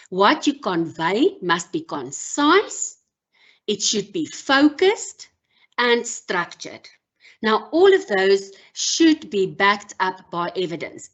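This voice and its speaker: A woman whose first language is Afrikaans gives a measured online workshop as a university lecturer, with frequent pauses and ums. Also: medium pitch, highs cut off. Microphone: video call.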